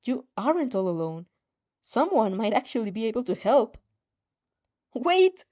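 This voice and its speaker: A woman reading a story aloud in an expressive, dramatic way, with playful casual asides and the odd stumble over a line. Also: on the high side, moderate pace. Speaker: fan fiction reader reacting as she reads. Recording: dull, slightly muffled lo-fi audio.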